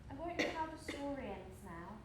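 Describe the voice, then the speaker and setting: A woman's voice: high-pitched, expressive, fairly quick. A young woman acting in a live stage play as a blunt, grieving, strange loner who speaks in terse, solemn statements. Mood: bleak, grieving. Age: young adult. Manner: terse, literal, declarative statements. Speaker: student stage actor. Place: indoor theatre stage, far from mic. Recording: distant, noisy, echoey stage recording.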